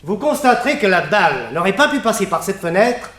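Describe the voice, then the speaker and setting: A high-voiced, animated, precise expert. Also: male voice, brisk, echoey room. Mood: knowing, precise. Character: precise, politely confident male ballistics expert. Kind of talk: French radio mystery play acting.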